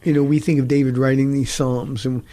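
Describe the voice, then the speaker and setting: A middle-aged man, a pastor hosting a talk show, teaching from the Bible in an earnest, halting way with frequent restarts. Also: mid-range voice, flat delivery, mellow.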